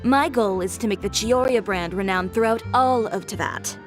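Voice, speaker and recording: An actress playing a poised, businesslike adult fashion designer with a composed, dry manner. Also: female voice, mid-range voice, moderate pace, close to an everyday voice. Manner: composed, dry, matter-of-fact. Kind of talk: video game voice acting. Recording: game audio with loud music.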